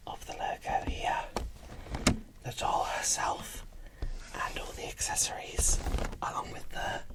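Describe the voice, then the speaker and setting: An ASMR host speaking in a breathy whisper, giving casual unboxing commentary slowly and softly. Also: far from the mic. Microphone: microphone set off to one side.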